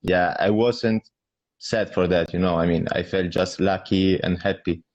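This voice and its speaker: A young man from southern Italy with an Italian accent, answering interview questions in a modest, relaxed way over a video call. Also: sits low, a little slow. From Calabria, southern Italy. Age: young adult. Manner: modest, relaxed, leans on "I mean" and "yeah". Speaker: guest, bassoonist. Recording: dull lo-fi video call audio.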